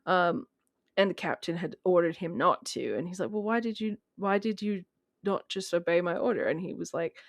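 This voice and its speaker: A woman talking casually as a guest in a film discussion, telling it in an animated way and acting out imagined remarks. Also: fairly low pitch, moderate pace, no room sound, studio quality.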